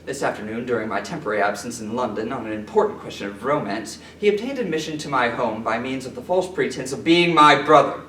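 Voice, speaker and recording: A man performing a comic period monologue as an indignant, pompous Victorian gentleman, orating formally. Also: mid-range voice, fast talker, few pauses, close to an everyday voice. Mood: affronted, haughty. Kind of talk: theatrical period comedy monologue. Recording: roomy, distant mic, background noise.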